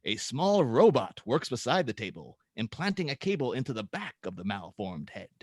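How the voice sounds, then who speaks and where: A man with an average-pitched, highly expressive voice hosts a table read. He is informal and a little halting as he narrates. The sound is dry, with no room echo. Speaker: host and script narrator. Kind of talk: casual introduction, then reading screenplay directions aloud.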